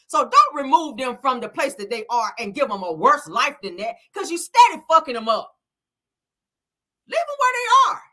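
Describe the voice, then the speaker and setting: Bright-voiced outspoken woman host. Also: high-pitched. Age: 30s. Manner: blunt, preachy, talks to chat. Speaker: live show host. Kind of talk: casual live-stream commentary.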